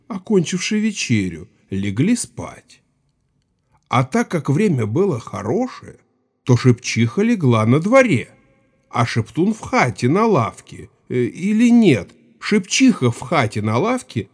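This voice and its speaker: An actor playing a gruff, gray-haired village Cossack who spins folk tales in a rambling, colloquial, self-satisfied way in an audio drama. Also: male voice, mid-range voice, darkish tone. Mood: folksy, unhurried. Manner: rambling, unhurried, colloquial, savoring the tale.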